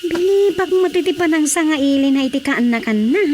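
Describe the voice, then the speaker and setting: A woman's voice: high-pitched, expressive, brisk, darkish tone, slight background noise. A woman acting a dutiful, pleading young member of a household in an Ilocano radio drama. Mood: obliging.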